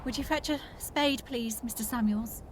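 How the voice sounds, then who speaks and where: Genteel period heroine played by a woman, English, determined, formal and clipped, voice a touch high. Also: normal speed, background noise, lightly acted. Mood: desperate. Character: determined, genteel young lady in a period mystery. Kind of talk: period audio drama acting.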